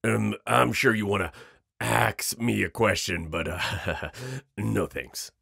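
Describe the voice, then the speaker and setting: A man playing a cocky, sarcastic werewolf outlaw in an audio roleplay, mocking and flippant with gravelly, hesitant asides. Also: lowish voice, fairly even intonation, takes it slowly, bright, forceful tone, no room sound, studio quality, heavily acted. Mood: nervous, sarcastic.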